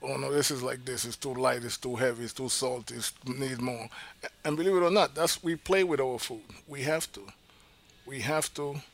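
A man's voice: medium pitch, normal speed, creaky. A man from Panama, a chef, talking casually in an interview and repeating his key points with emphasis.

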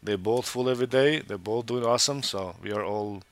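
A man's voice: medium pitch, a little fast, no echo. A man from Montenegro who owns restaurants, talking casually in an interview with many restarts and fillers. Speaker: restaurant owner.

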